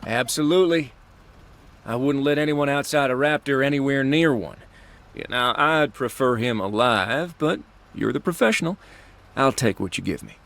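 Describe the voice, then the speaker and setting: A man's voice: medium pitch, lively intonation, moderate pace, vocal fry, fairly bright tone. An actor playing an upbeat, friendly frontier lawman in a video game, with a folksy Southern or Western American accent. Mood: businesslike, folksy. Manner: folksy, friendly, drawling cowboy talk. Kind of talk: scripted video game dialogue.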